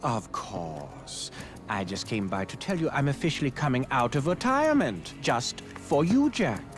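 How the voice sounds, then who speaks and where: A sly, arrogant male villain from a racing video game. He taunts theatrically in a mid-pitched voice that swings widely, with music playing behind him. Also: big pitch swings, measured pace. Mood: mocking, menacing. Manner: mocking, drawling, theatrical. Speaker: rival racer working for a crime boss. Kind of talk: video game cutscene acting.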